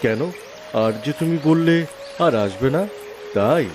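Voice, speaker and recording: A man's voice: a little high, expressive, slow, background noise. A man playing a friendly talking lotus flower, a cursed human, speaking familiarly and earnestly in a cartoon fable. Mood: teasing, friendly. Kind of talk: Bengali cartoon fable voice acting. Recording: loud music under the voice. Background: music.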